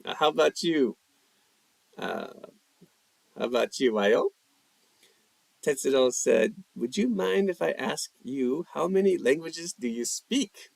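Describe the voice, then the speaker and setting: Male stream host, slow and gravelly, lively pitch, casual chat-reading delivery. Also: slight background noise, poor audio. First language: English. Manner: unhurried, reads chat questions aloud, answers with fillers. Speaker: livestream language host. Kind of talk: casual live chat reading viewer questions.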